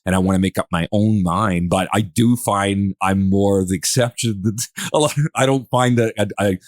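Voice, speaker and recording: A man's voice: on the low side, fairly even intonation, normal speed, no room sound, clean studio sound. A man hosting a podcast in casual discussion, talking in a hesitant, rambling way and often circling back to rephrase. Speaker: podcast host.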